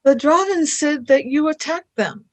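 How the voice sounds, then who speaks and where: Woman acting an earnest, very high-voiced young woman. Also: expressive, measured pace. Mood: curious. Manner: questioning, earnest. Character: earnest, anxious young woman, a space traveller. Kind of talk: fan radio play acting.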